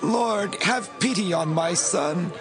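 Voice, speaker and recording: A man's voice: sits high, takes it slowly. An actor playing a desperate father pleading for his son in a dramatised scripture recording. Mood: anguished, pleading. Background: music.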